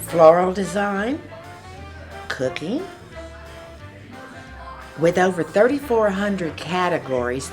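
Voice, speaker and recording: A woman from Alabama answering interview questions in an unhurried, deliberate way. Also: low-pitched. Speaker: site volunteer.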